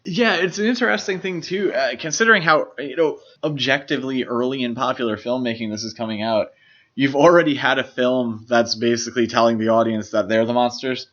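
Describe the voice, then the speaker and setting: A man's voice: mid-range voice, brisk, fairly bright tone. Male podcast co-host, dull lo-fi sound. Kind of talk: casual film discussion.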